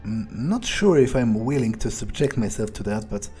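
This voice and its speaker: A man playing a wary male vampire in a game playthrough, thinking aloud in an unhurried, hesitant, musing manner. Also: mid-range voice, expressive, warm tone, soft background music, natural voice. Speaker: gamer playing in character.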